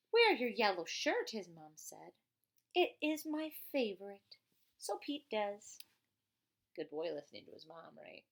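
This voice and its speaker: A woman's voice: medium pitch, very animated, a little slow, long pauses. A woman reading a children's picture book aloud as a teacher, playful and chatty, with casual asides and questions to a young listener.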